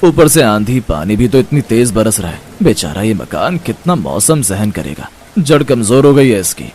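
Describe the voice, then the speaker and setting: An actor playing a weary, resigned village family man, husky and placating, in a cartoon story. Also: lowish voice, sing-song, moderate pace, a little mellow, slight background noise. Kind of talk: cartoon moral story dialogue.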